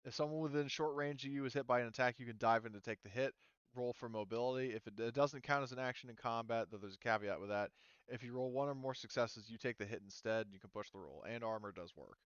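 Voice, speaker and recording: A man acting as game master, casually explaining tabletop game rules in a halting way with repeated words. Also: medium pitch, smooth, no room sound, muffled recording.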